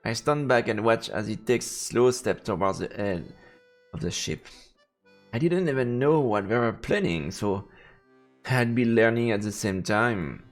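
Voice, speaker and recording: A man's voice: fairly low pitch, lively intonation, unhurried. A man reading visual novel narration aloud as he streams a let's play. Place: indoors, streaming setup. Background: faint game music.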